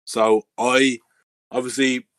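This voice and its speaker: A young Irish man co-hosting a casual podcast chat, excitable, with lots of "like" and swearing. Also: mid-range voice, slow, no room sound, studio quality. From Ireland. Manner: excitable, swears, says "like" and "boys" a lot. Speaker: podcast co-host.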